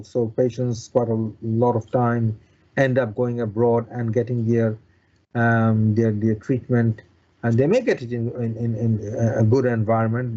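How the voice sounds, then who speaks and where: A man from Bangladesh speaking as a surgeon being interviewed, explaining in a halting way with repeated words and restarts. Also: mid-range voice, darkish tone, muffled recording. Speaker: consultant bariatric surgeon, interview guest.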